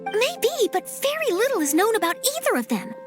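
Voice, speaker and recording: An actress playing an upbeat, inquisitive young journalist in a video game cutscene. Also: female voice, extremely high pitch, very animated, a little fast, over loud music. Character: upbeat curious young woman reporter.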